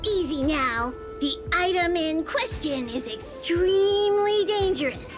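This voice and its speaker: A cold, scheming gang boss speaks slowly and with mock politeness, her high voice swinging wide in pitch. It is game cutscene audio with clear music underneath. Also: lively intonation. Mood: smug menace.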